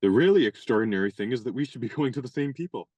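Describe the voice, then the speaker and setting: A woman's voice: very deep voice, animated, very fast, warm tone, slightly rough, dry recording, heavily acted. A woman acting an eager, awkward young man who speaks hesitantly in a radio play. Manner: hesitant, stammering starts. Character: eager, awkward young man, shy would-be suitor and writer.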